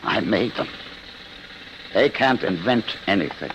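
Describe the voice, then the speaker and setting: An actor playing an embittered, obsessed old scientist in a radio drama, grave, intense and defiant. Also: male voice, on the low side, animated, measured pace. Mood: intent, determined. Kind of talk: 1950s American radio drama acting. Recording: noisy, hissy old radio recording.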